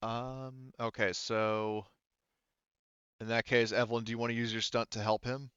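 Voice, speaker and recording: A man's voice: medium pitch, level tone, moderate pace, a bit bright, clear, resonant tone, no room sound, highs cut off. A man casually running a tabletop roleplaying game as game master, explaining rules informally and thinking aloud.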